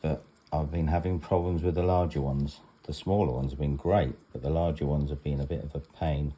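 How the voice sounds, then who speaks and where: A man's voice: a bass voice, warm tone. A man giving a casual home demonstration in a rambling, matter-of-fact way, like a vlogger in his kitchen.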